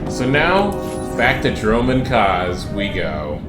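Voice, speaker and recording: A man's voice: fairly low pitch, sing-song, slow pace. A man giving casual, chatty and playful commentary on a video game playthrough. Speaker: gaming video narrator. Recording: roomy echo, loud game music behind. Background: loud game music.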